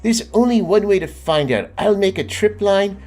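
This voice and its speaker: A man's voice: high voice, normal speed, a little mellow, soft background music, pitched above the performer's own voice, close to an everyday voice. A man voicing Jack, a clever, bold young boy who leads his friends in a children's storybook, sounding eager and a bit bossy.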